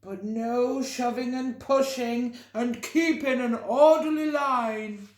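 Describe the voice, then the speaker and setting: A woman's voice: on the high side, slow, roomy sound, voice pushed up. A woman voicing a pompous, all-knowing male elephant in a storybook, issuing orders in a stretched-out, formal way. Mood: pompous, commanding.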